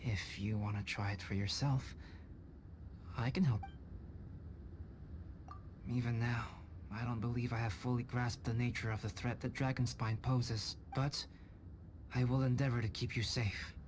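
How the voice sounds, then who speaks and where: Man as a calm, scholarly young alchemist. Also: mid-range voice, a little hiss or hum, lightly acted. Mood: gentle. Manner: measured, formal, polite. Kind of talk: video game cutscene voice acting. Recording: game music behind voice.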